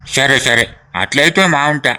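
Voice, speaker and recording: A man's voice: medium pitch, moderate pace, pushed and bright, clean tone. A man with a Telangana accent acting a teasing, sociable brother-in-law in a rural family drama, bantering and complaining in mock grievance. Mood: sociable, eager.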